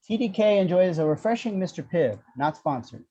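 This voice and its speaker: Male screenplay narrator, wry, mellow tone, slightly muffled lo-fi sound. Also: sits high, sing-song, a little fast. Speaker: action-description reader.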